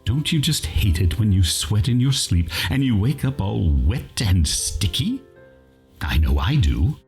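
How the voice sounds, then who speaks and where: A man narrates a comic radio play from a script. His fairly low voice swoops very widely, with light music behind it. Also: big pitch swings, unhurried, darkish tone.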